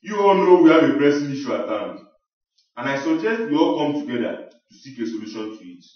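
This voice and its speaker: A man's voice: fairly high pitch, sing-song, fairly quick. A man with a Nigerian accent playing a dignified village king who addresses his people in a stately way in a radio drama. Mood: solemn. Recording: muffled, very echoey, far from mic.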